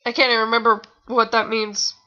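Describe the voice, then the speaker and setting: Young woman, high bright voice, amateur fan fiction reader, theatrical, rambling, exasperated, slightly tired. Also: level tone, brisk, dull audio. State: tired, sore nose. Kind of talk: mocking amateur reading with casual asides.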